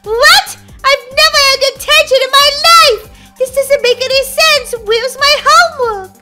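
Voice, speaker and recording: A woman's voice: very high voice, brisk, music in the background, pitched above the performer's own voice. A woman voicing an earnest, indignant schoolgirl who is easily flustered. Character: indignant schoolgirl, sister of a dead prankster.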